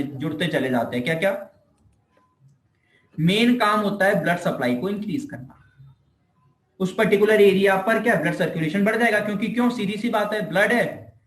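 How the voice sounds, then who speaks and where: The voice is an Indian man's, pitched a little high, with clear room echo around it. He lectures informally like a teacher, circling back over his points. He often poses a short question and then answers it himself. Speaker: physiotherapy teacher. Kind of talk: informal explanatory lecture in Hindi mixed with English terms. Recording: echoey room.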